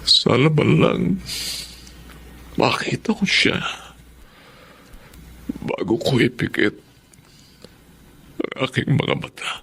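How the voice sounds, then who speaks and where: An actor playing a dying, remorseful old rich man in a Filipino radio drama, speaking weakly and haltingly with emotion. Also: medium pitch, expressive, gravelly, fairly bright tone, breathy edge, heavily acted. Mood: sorrowful. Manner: slow, emotional delivery. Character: ailing, remorseful old rich businessman near death.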